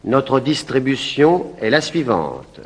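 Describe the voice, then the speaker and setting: A man's voice: mid-range voice, big pitch swings, husky, in a large hall. A man formally announcing the cast and the stage setting of a play. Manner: slow, measured announcing.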